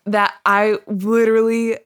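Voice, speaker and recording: A woman's voice: medium pitch, level tone, unhurried, no echo. A young woman co-hosting a podcast on a studio mic, talking animatedly and laughing often. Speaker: podcast co-host. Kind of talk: casual chat.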